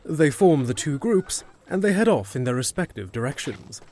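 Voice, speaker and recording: A man's voice: on the high side, sing-song, moderate pace, a little mellow, slight background noise. A man reading scripted narration for a fantasy audio drama.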